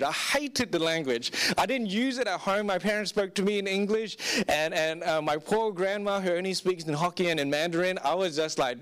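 Young male pastor from Singapore, slightly high voice, earnest sermon delivery with frequent restarts. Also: a bit bright. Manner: earnest, plain-spoken, repeats words when starting sentences.

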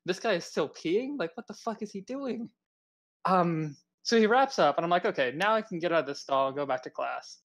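A man's voice: sits high, a little fast. Young man rambling through a story. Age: young adult. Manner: rambling and animated, full of "like" and "um". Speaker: podcast co-host. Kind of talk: casual storytelling among friends.

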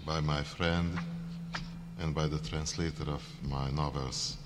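A man with a heavy Hungarian accent, an author introducing his reading haltingly, with many pauses and fillers. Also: a bass voice, fairly even intonation, over music. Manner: hesitant, many fillers, slow and careful. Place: church hall. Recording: reverberant church, faint ambience.

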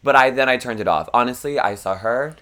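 A man's voice: mid-range voice, brisk, fairly bright tone. A young man with a froggy voice, co-hosting a podcast in rambling, self-deprecating casual chat and laughing often. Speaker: podcast co-host.

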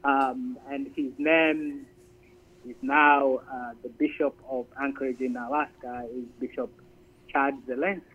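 A man's voice: mid-range voice, flat delivery. Man on a tinny phone line. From Zambia. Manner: halting, repeats words while searching for them. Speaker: Air Force chaplain and priest, guest. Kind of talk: conversational radio interview.

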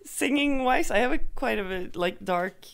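Swedish woman cohost, gravelly voice, bounces up and down a lot, laughs easy. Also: on the high side, lively intonation, normal speed. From Sweden. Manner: short reactions, laughs easily. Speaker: podcast co-host. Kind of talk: casual chat.

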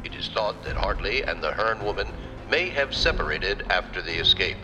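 Emergency bulletin announcer, played by a man: deep, animated, formal and ominous, with prominent music underneath. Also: normal speed, highs cut off, close to an everyday voice. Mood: stern warning. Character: grave male radio newscaster broadcasting a police warning. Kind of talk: old-time radio bulletin acting.

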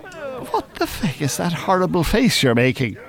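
A worldly older Irishman in a radio play. He is dry, teasing and opinionated, with a lively rise and fall in his voice and a blunt, cynical streak. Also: high-pitched, normal speed, vocal fry, exaggerated voice. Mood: wry, bossy. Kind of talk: naturalistic radio drama acting.

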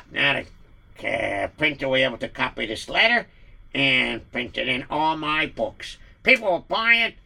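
A man's voice: medium pitch, gravelly, pushed and bright, heavily acted. A man voicing a scheming, boastful middle-aged villain who mocks and cackles. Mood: triumphant.

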